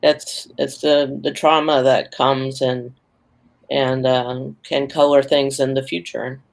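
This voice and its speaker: A man speaking in a hesitant, halting way as a panelist in a casual discussion. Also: medium pitch, flat delivery, vocal fry.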